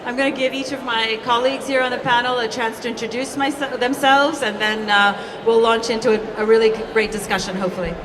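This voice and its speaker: A woman's voice: fairly high pitch, fairly even intonation, fairly quick, few pauses. A woman hosting a panel, giving an introduction with a welcome to the audience as a fund founder. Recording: distant mic, noisy crowded room.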